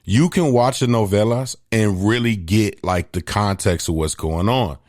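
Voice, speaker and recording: A deep-voiced man co-hosts a podcast with loose, slang-heavy storytelling. His pitch sits low, and the recording is dry and close. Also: a little slow, dry recording. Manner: animated storyteller, slangy, laughs at own jokes. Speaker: podcast co-host. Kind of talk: casual podcast chat.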